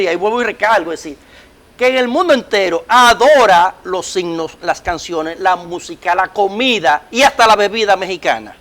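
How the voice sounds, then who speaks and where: A middle-aged Dominican man with a Dominican Spanish accent, hosting a talk show in an animated, emphatic way and often cutting in. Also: high voice. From Dominican Republic. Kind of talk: conversational interview.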